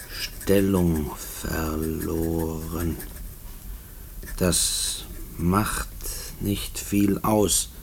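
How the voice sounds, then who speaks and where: An actor playing a restless male prisoner in a German radio play, brooding haltingly as if writing out letters. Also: low voice, darkish tone, a little hiss or hum, full character voice. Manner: halting, brooding monologue, spelling out words as he writes.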